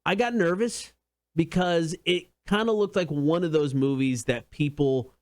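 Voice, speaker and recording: A man's voice: fairly high pitch, unhurried, dry recording. A man co-hosting a casual entertainment talk show, chatting informally. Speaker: show co-host.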